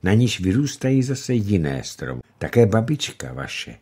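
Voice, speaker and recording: A man reading the part of a gentle, wise old grandmother in an audiobook, speaking slowly and softly with a husky voice. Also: on the low side, very animated. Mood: calm, tender. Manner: slow, soft-spoken, unhurried.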